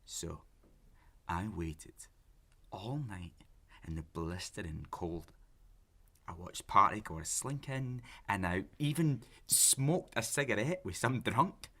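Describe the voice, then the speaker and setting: An actor playing an obsessive, pleading, lonely lovesick man in an audio drama, halting and intense as he justifies himself. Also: fairly low pitch, sharp tone, slightly rough, heavily acted. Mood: obsessive, agitated. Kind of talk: naturalistic audio drama acting.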